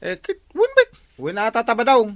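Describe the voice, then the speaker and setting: A man's voice: extremely high pitch, huge pitch swings, a little fast, full character voice. An actor playing an indignant village watchman in an Ilocano radio comedy, scolding and accusing with exclamations in an Ilocano accent.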